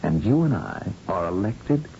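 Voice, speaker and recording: Male lead, grave space-age scientist, measured and mellow, on dull old radio tape. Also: sits low, very animated, gravelly, slight background noise, heavily acted. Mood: brisk, purposeful. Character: grave, matter-of-fact male astronaut scientist of the far future. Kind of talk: old-time radio drama acting.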